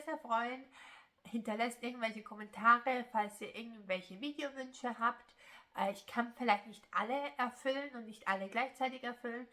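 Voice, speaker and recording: A young woman with a Swiss German accent talks through her crochet vlog, her voice bright and clear. She chats on loosely, with plenty of hesitations and restarts. Her first language is Italian. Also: on the high side. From German-speaking Switzerland. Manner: chatty, rambling, many filler sounds and restarts. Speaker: crochet YouTuber. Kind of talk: casual vlog chat.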